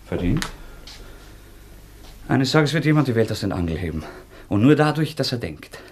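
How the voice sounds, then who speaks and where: Intense, brooding young man acted, animated pitch. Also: slight background noise, close to an everyday voice. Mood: brooding, defiant. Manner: halting, repetitive, intense. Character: feverish, obsessive, brooding young former student. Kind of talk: German radio drama acting.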